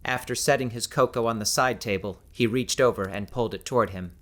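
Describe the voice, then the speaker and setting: A man narrating scripted fiction as an audiobook narrator, in a measured, descriptive storytelling manner. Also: medium pitch.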